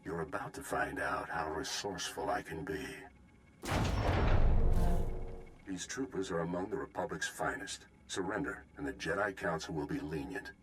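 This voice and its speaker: A man playing a proud, protective Jedi Master in a video game, speaking in a measured, formal, defiant way. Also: very deep voice, noisy background.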